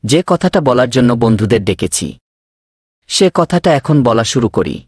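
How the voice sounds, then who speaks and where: A man's voice: mid-range voice, big pitch swings, moderate pace, darkish tone, slightly rough, no echo. A man voicing an eager village man in a cartoon, earnest and persuasive as he lays out plans. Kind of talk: cartoon voice acting.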